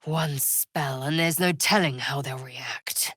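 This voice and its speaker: A woman voicing a fierce, proud alien warrior in a video game, speaking with a husky rasp in a haughty, clipped, commanding and formal manner. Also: extremely low pitch, slow pace, fairly bright tone, dry recording, clean studio sound, exaggerated voice. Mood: wary, clipped. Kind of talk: video game character voice acting.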